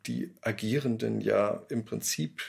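A man's voice: fairly low pitch, fairly even intonation, measured pace, vocal fry. A man who makes radio drama, giving a reflective interview statement.

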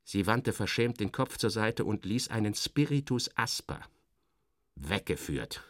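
A man giving a dramatic first-person literary narration as in a radio play, theatrical and sardonic, with expressive shifts of emphasis. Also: fairly low pitch, measured pace, no room sound, clean studio sound.

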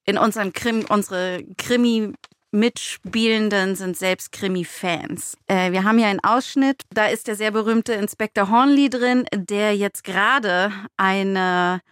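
A woman's voice: mid-range voice, unhurried, fairly bright tone, clean tone, no room sound, studio quality. A woman co-hosting in casual discussion, informal and opinionated. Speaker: co-host.

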